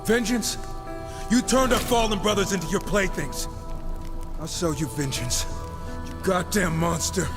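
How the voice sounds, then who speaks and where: Cocky, higher-pitched wisecracking gunslinger. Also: male voice, measured pace, long pauses, over music. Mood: vengeful. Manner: sarcastic, casual, flippant. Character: cocky, wisecracking gunslinger horseman, irreverent younger brother. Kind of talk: video game voice acting.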